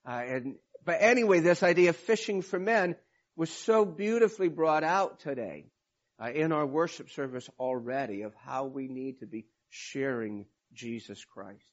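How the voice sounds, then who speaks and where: A man preaching a sermon in a hoarse, raspy voice from a cold, talking informally with many restarts. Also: on the high side, expressive, normal speed, highs cut off. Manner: informal, rambling with restarts and fillers. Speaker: pastor.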